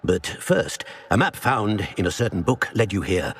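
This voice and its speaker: A man playing an aged, grave and courteous wizard professor in a video game, speaking formally with a husky, grainy voice. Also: fairly low pitch, lively intonation. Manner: formal, measured, old-fashioned. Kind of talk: video game character acting.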